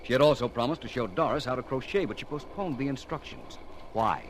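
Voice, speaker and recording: An actor playing a calm, courteous, shrewd detective in an old-time radio drama, polished and formal. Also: male voice, medium pitch, fairly quick, close to an everyday voice. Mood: assured. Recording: noisy vintage radio show recording.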